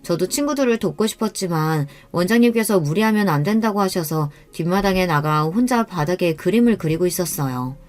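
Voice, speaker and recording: A woman calmly narrating a first-person life story in an even, scripted manner. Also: lowish voice, fairly quick, few pauses, soft background music.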